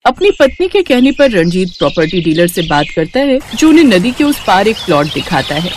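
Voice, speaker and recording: A woman's voice: medium pitch, big pitch swings, brisk, background noise. A woman narrating a scripted moral story as its narrator.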